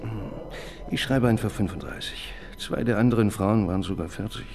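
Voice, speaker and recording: An actor playing an eager, pedantic police assistant in a radio crime drama, prone to hesitant restarts. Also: male voice, on the low side, brisk, gravelly, music in the background.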